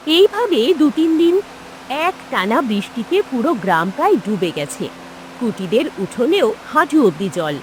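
A woman narrating a cartoon fairy tale and speaking the mother's lines with lively expression. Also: a little high, slight background noise, rough recording. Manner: expressive, plays the mother too. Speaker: narrator and voice actor. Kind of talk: cartoon storytelling.

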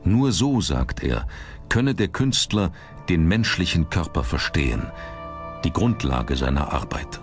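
A man reading scripted documentary narration in a measured, dramatic manner. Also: rumbling low, big pitch swings. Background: background music.

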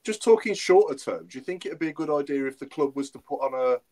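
A man's voice: fairly high pitch, lively intonation, fast talker, a little mellow. A man on a casual fan podcast over a video call, putting chatty questions to the others. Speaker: podcast co-host asking questions. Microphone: video call with earphones.

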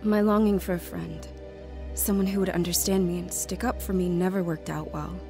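A grown woman looking back on a lonely childhood. Her voice is on the low side, reflective and measured, in scripted audio drama narration. Music plays faintly behind her. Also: fairly even intonation, creaky. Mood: wistful, reflective. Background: music under the voice.

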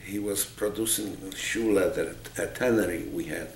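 A man's voice: deep voice, normal speed, creaky. A man in his eighties from Poland with a Polish accent, recalling his life as an oral history interviewee. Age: 80s. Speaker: interviewee, Holocaust survivor. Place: small office indoors, seated. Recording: roomy, noisy, distant microphone.

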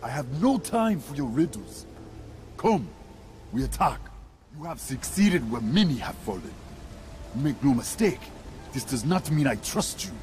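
Proud alien warrior, stern, distrustful, dynamic intonation, game cutscene, light background music. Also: male voice, mid-range voice, big pitch swings, unhurried, pauses often, darkish tone. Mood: stern, dismissive. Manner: stern, terse, formal pronouncements. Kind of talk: video game cutscene acting.

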